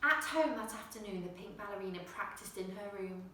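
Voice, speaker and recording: A woman reading a children's storybook with expressive narration, putting emphasis on the action words. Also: medium pitch, fairly quick. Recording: very echoey room, distant microphone.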